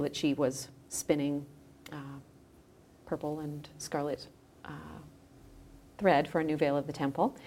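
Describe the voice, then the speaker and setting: A woman with a slightly low voice talks as an interview guest. She explains things conversationally, feeling her way through phrases and trailing off now and then. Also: slight background noise. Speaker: guest, editor and audio creator.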